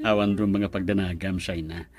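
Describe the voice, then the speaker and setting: A man's voice: low-pitched, fairly even intonation, measured pace, a little mellow. An actor playing a friendly, modest young professional man in a radio drama. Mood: friendly, respectful. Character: friendly, modest young architect, respectful to elders.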